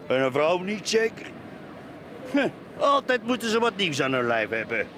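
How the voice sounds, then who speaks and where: A tipsy, boastful middle-aged Flemish man in a radio drama. His voice is high and very animated, with slow, dragging delivery, gloating and bitter. Clear outdoor noise sits behind him. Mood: grumbling, boozy. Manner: rambling, repetitive, cackling, tipsy. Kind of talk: 1980s Flemish radio play acting.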